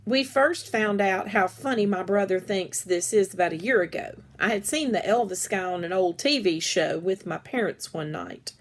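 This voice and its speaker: Woman doing an expressive read aloud of a kids book, mid pitched voice. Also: moderate pace, hardly any pauses.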